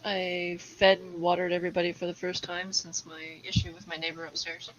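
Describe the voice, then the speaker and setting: A woman's voice: lowish voice, little pitch movement, a little fast, muffled recording. A woman whose first language is English, a tarantula keeper chatting casually as a guest on a live stream and explaining things at length. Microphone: video call.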